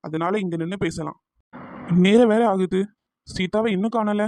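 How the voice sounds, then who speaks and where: A dry, close cartoon voice put on by a performer for a lovestruck young man. He speaks at a middling pitch, earnest and bashful, with a reassuring tenderness. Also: normal speed, a little mellow, no echo, close to an everyday voice. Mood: bashful, worried. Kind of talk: cartoon romance dialogue.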